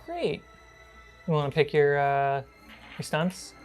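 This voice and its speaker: A woman running a tabletop horror role-playing game as game master, narrating conversationally with pauses mid-sentence. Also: deep voice, animated, a little mellow. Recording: background music behind the voice. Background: music.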